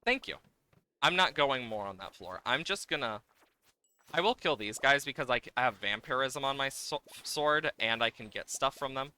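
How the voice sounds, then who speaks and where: A man's voice: medium pitch, lively intonation, moderate pace, bright, forceful tone. A man giving casual livestream game commentary, playfully exasperated, swearing and exclaiming at the game as he plays.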